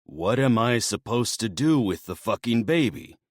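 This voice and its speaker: A man voicing a grumbling, reluctant male god with a gruff, irritable manner. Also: medium pitch, animated, moderate pace, no room sound, studio quality, pitched above the performer's own voice, close to an everyday voice. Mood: irritated.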